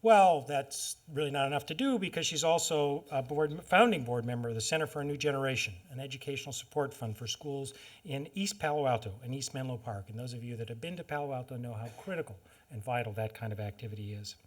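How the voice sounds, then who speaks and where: A man hosting a public forum, giving a formal spoken introduction in a halting manner with restarts. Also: medium pitch. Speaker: event host introducing a speaker. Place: public lecture forum with an audience.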